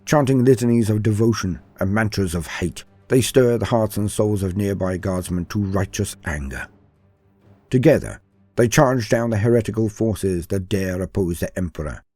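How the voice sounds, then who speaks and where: A man's voice: fairly low pitch, animated, moderate pace, darkish tone, breathy edge, faint music behind. A man delivering dramatic scripted narration in a theatrical, grandiose manner as a lore host.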